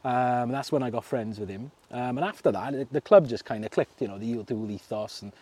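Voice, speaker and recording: A man with a South Wales Merthyr accent talks in a loose, easygoing interview. His voice is mellow and dark, and he keeps dropping in little laughs, fillers and restarts. The pitch sits in an ordinary middle range for a man. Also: brisk, husky. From Merthyr Tydfil, Wales. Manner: chatty, laughs often, many fillers and restarts. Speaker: fishing club secretary, guest. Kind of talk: casual interview chat.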